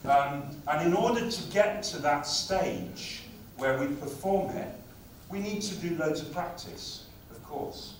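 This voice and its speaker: A man giving a conference talk on language teaching, speaking in a lively, conversational way. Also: on the high side, a little slow, hardly any pauses, noisy background. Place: conference hall. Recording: very echoey hall, far from mic.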